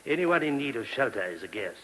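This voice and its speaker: A gentle abbey priest in an old radio drama, with faint hiss on the recording. His mid-pitched voice is earnest and polite, slightly hesitant as he explains. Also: big pitch swings, brisk, rough recording. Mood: earnest, explanatory. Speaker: actor in radio play.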